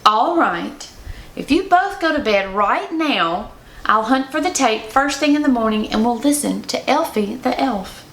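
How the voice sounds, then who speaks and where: A woman voicing a kindly grandmother who reminisces gently in a children's bedtime story. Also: a little high, lively intonation, a little slow, reverberant. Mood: fond. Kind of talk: children's storybook read-aloud.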